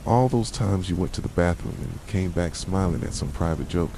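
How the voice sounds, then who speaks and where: Man acting as a very deep-voiced jealous boyfriend, rainstorm behind. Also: expressive, normal speed. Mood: agitated, jealous. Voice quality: soft voice. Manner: accusing, intense, dramatic direct address. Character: possessive, jealous yandere boyfriend confronting his partner. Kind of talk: ASMR roleplay monologue. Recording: rain and thunder noise behind. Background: rain and thunderstorm ambience.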